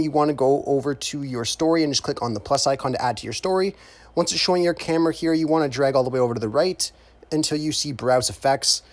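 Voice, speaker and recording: A man narrating a casual how-to tutorial in an informal, step-by-step way. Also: a little high. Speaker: tutorial host.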